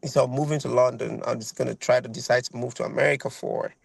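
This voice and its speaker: A Nigerian man in his thirties talks over a video call, rambling with fillers and repeating himself as he tells his story. His voice is heavily gravelly, with a flat, even melody. The sound is dry and close. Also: mid-range voice, fast, no room sound. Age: 30s. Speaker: guest violinist.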